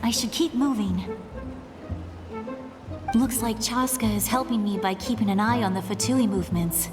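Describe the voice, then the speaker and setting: A woman's voice: medium pitch, moderate pace, lightly acted. A woman voicing a stubborn, spirited younger sister from a tribe in a video game, with an insistent, plucky manner. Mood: eager, tense. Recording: game music behind the voice.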